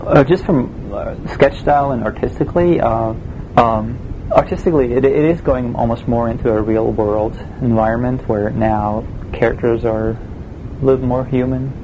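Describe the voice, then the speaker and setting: A man with a Swiss German accent, a game production designer answering interview questions in a relaxed, hesitant way with many fillers. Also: mid-range voice, moderate pace, noisy background. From Switzerland.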